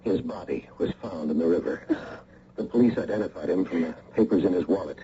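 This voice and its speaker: A man's voice: sits low, warm tone, lightly acted. Man acting an anxious, evasive boss, noisy recording. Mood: somber. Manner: hesitant, halting. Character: evasive, anxious male company boss hiding his guilt. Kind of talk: old-time radio mystery acting. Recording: noisy, muffled old radio recording.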